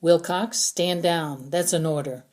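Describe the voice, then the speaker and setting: A woman's voice: fairly low pitch, unhurried, darkish tone, lightly acted. A woman narrator plays a male squad leader in an adventure audiobook. He is firm and protective, giving orders and questions with authority. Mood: commanding. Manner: firm, commanding.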